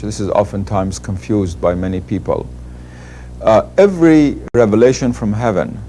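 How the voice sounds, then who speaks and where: Low mellow man. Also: a little hiss or hum. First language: Persian. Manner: measured, lecturing. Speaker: interviewed scholar. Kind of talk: explanatory interview answer.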